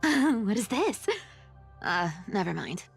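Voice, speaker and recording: A woman's voice: mid-range voice, very animated, slow pace, gravelly, husky, heavily acted. A woman playing a sly, gleeful young thief in a video game, muttering theatrically to herself as she schemes. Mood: grumbling. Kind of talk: video game character acting. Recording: music behind the voice.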